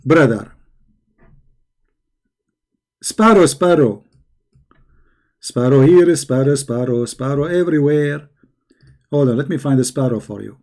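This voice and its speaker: A hoarse man who is losing his voice, giving a sarcastic, scornful commentary. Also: medium pitch, expressive, measured pace, pauses often, a little mellow. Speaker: religious critic. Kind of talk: mocking video commentary.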